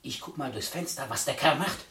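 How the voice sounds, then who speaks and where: An actress in a German radio play, playing a nosy, excitable onlooker. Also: female voice, rumbling low, a little fast. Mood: furtive, curious. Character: nosy, excitable onlooker peering through a window. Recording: distant, echoey, noisy radio scene.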